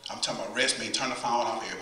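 A man's voice: fairly low pitch, steady tone, very fast, a crowd in the background. A middle-aged man preaching a conversational sermon from the pulpit, folksy and repeating phrases for emphasis. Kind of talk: conversational sermon with call and response. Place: church sanctuary at the pulpit. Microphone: far camera, pulpit mic. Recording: echoey church from far camera.

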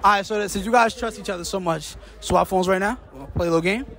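A young man in his twenties runs a casual street interview on a boardwalk at night, loud and joking in slang, recorded on a handheld phone mic. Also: high-pitched, fast talker, creaky. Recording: noisy crowd around.

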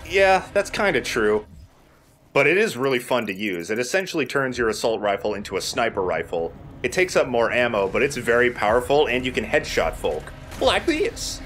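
Animated man doing gameplay commentary. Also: medium pitch, moderate pace, faint music behind. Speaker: gaming let's play host, player.

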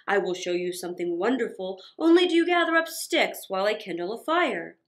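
A woman voicing a cunning, commanding male magician in a children's storybook, speaking slowly and dramatically. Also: high-pitched, big pitch swings, pitched below the performer's own voice. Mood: coaxing.

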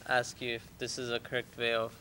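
Audience man, flat bright delivery, tentative, faint rumble behind. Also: fairly quick, poor audio. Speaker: audience member asking a question. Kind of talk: asking a question.

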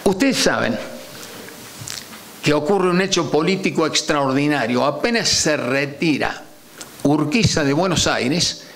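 Older argentine guy lecturing at a panel table, kinda high voice, drags out words for emphasis, table mic. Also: gravelly. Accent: Argentine Spanish. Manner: animated, stretches key words slowly for emphasis, asks rhetorical questions. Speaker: lecturer on constitutional history. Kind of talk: academic lecture. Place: conference room at a panel table. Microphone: table gooseneck microphone.

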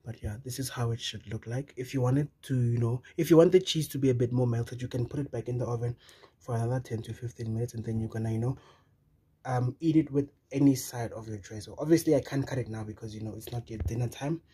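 Young man, South African accent, husky voice, chatty recipe walkthrough, home kitchen, phone camera. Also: mid-range voice, fairly even intonation, fast, dark tone. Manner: chatty, addresses viewers directly, many "you know" fillers. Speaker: cooking channel host.

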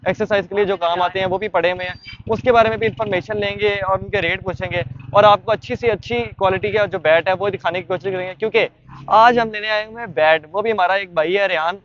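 A man's voice: sits high, fairly even intonation, a little fast, a bit bright, lo-fi sound. Young man guiding a market tour. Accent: Pakistani Urdu. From Pakistan. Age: young adult. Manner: chatty guide, frequent fillers and restarts. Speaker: vlogger. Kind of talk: walking market tour vlog. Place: busy street market and sports shop.

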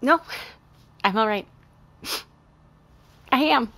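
Audio drama boss: overwrought, bitter woman, high-pitched, upset and tearful. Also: lively intonation, takes it slowly, a bit bright, heavily acted. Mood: brittle, withdrawn. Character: stressed, bitter adult woman store manager. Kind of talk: naturalistic audio drama acting.

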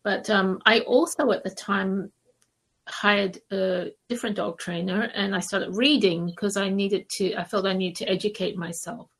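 A woman from Malaysia speaking as a podcast guest, telling stories casually with hesitant, conversational pauses. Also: medium pitch, steady tone, gravelly. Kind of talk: casual interview storytelling.

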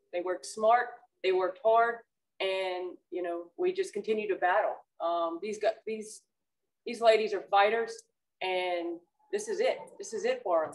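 A woman softball coach answering press questions at a table, speaking plainly and repeating words as she thinks. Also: mid-range voice, distant mic, rough recording. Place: press room, seated at a table.

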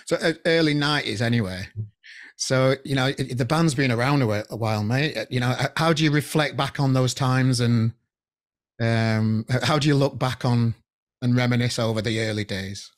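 A man in his forties chats easily as a podcast host, often stumbling and restarting his sentences. His voice sits at an ordinary middle pitch. He speaks into a boom mic on a headset from a room at home, over a video call. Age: 40s. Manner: chatty, halting, many restarts. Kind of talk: casual interview chat.

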